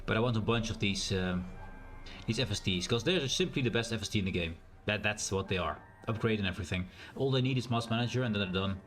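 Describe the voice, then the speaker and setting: A man with a naturally loud voice and a strained, sore throat, thinking aloud in a rambling way as he streams a game. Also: fairly low pitch, fast talker, soft background music. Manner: rambling, thinks aloud with fillers and self-corrections. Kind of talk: casual live-stream gameplay commentary.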